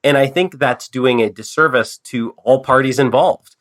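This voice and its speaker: Male podcast host, fighting a cold, conversational and loose, mid-pitched. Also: normal speed, a bit bright. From Canada. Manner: conversational, loose, frequent fillers and restarts. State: stuffed up with a cold. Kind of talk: casual business podcast chat.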